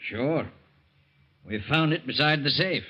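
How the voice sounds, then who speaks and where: An actor playing a sceptical, curt police captain questioning people in a 1940s radio drama. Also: male voice, fairly high pitch, expressive, measured pace, bright, forceful tone, breathy edge, exaggerated voice. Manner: curt, interrogating. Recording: muffled old radio recording.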